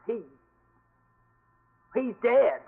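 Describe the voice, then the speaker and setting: Old narrow-band radio sound. a female rooming house manager with a high voice, brusque and nosy. She talks in a suspicious, chatty way, as a broad radio character. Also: animated, extremely slow, gravelly, exaggerated voice. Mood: shocked. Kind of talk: 1950s radio character acting.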